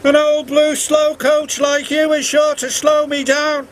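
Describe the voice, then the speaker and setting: A man voicing an impatient, boastful young male steam engine in a storybook, with a high, strained voice. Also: monotone, a little slow. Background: background music.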